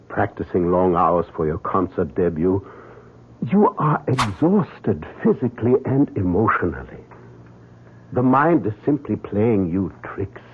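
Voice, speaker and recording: Fatherly older physician: low voice, soothing, hesitant, vintage radio drama with thin sound. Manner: avuncular, hesitant with small uhs, soothing. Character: older fatherly male doctor, Viennese, reassuring and kindly. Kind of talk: old-time radio drama acting. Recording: narrow noisy old radio broadcast.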